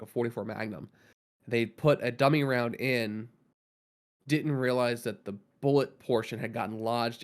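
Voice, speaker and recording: Gravelly-voiced man co-hosting a podcast. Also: mid-range voice. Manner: explains at length, with many ums and restarts. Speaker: podcast co-host. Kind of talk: casual podcast chat.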